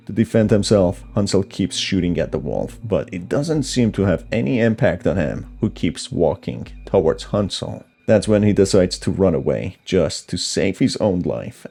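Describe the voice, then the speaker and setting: A man's voice: low-pitched, gravelly, mellow, slightly rough. A man giving scripted narration that explains a horror story.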